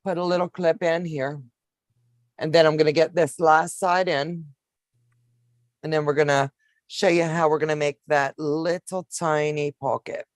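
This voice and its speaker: Woman in her fifties, lowish voice, dry close sound, homey sewing demo, calls people baby. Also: fairly even intonation, a little slow, no echo. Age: 50s. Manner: homey, calls viewers baby, narrates each step. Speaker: sewing livestream host. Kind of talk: casual sewing demonstration chat.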